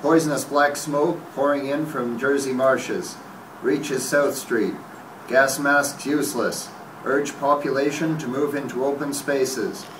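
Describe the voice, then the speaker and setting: An urgent emergency announcer, played by a man reads terse radio-drama bulletins. He has a mid-pitched voice, heard from a distance in an echoey room with faint noise. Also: fairly even intonation, a little slow, a bit bright, natural voice. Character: urgent radio announcer giving evacuation warnings. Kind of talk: live radio play reading. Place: hotel meeting room, panel table.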